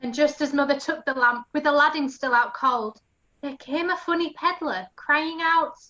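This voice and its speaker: A woman's voice: high voice, moderate pace, sharp tone, dull audio. A woman narrating a rhyming pantomime story as a storyteller.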